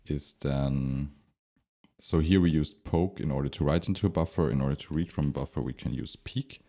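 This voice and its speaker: A man giving an unscripted, step-by-step software tutorial, thinking aloud with some hesitation as he explains. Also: extremely low pitch. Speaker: tutorial presenter.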